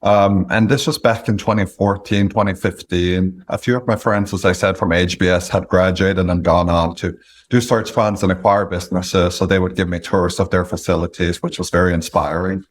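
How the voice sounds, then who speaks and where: Man, norwegian accent, very low pitch, interview guest, measured with pauses. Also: fairly even intonation. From Norway. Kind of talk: interview conversation.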